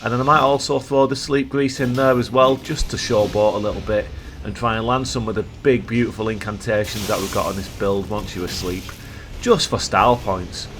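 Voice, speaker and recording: A man with a croaky voice from a cold, giving playful, jokey commentary over gameplay as a video host. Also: fairly low pitch, steady tone, brisk, a bit bright, faint music behind.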